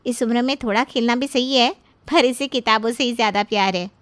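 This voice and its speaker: A gentle young mother voiced by a woman for an animated family tale. Her voice is fairly high and she talks in a soothing, reassuring way. The recording is dry. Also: brisk, no echo, natural voice. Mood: pleasant.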